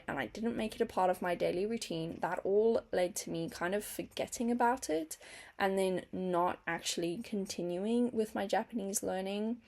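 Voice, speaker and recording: A young woman vlogger speaks with noticeable vocal fry at a middling pitch. English is her second language. She chats casually to the camera, earnest and open, as if updating friends on her progress. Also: a little mellow. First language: not English. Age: young adult.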